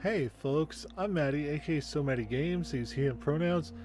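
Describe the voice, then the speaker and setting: A man in his forties, the game master, chatting casually and rambling with many pauses. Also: a little high, darkish tone, a little hiss or hum. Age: 40s. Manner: rambling, hesitant. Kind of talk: casual stream chat.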